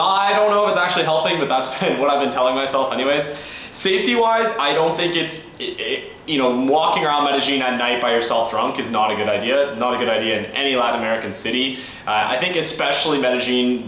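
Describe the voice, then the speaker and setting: A man's voice: mid-range voice, pushed and bright. Chatty man in a very echoey room. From Canada. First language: English. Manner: chatty, frank, lots of fillers like "like" and "um". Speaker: travel vlogger. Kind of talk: casual talk to camera. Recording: very echoey room with faint ambient noise.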